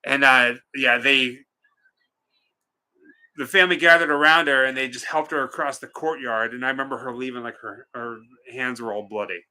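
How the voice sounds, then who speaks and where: A man's voice: mid-range voice, fairly even intonation, moderate pace, pushed and bright, clear, resonant tone, rough recording. A man co-hosting a live stream, chatting casually and reading out viewers' comments with a joking, teasing manner.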